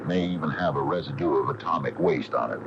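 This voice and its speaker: A man's voice: extremely low pitch, fast talker. An actor playing an indignant, stubborn small-town man in a radio mystery drama, speaking emphatically with folksy phrasing. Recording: muffled, noisy 1980 AM radio broadcast.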